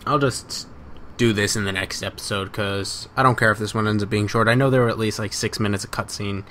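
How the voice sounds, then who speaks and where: A man's voice: fairly low pitch, fairly even intonation, a little fast, sharp tone, a little hiss or hum. A man giving casual gameplay commentary, muttering to himself, repeating phrases and swearing. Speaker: gamer recording a let's play.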